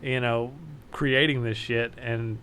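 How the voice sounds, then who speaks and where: A man's voice: mid-range voice, steady tone, takes it slowly, a bit bright, a little hiss or hum. Laid-back man chatting on a podcast. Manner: laid-back, swears freely when griping. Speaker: podcast co-host. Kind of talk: casual podcast chat.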